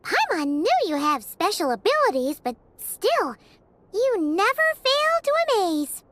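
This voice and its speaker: A tiny fairy girl chirps in a very high, squeaky voice that bounces up and down. She sounds childlike and eager, like a cute video game companion. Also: sing-song, a little slow, fairly bright tone, no echo, heavily acted. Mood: impressed, chirpy. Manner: speaks of herself in the third person. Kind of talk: video game cutscene acting.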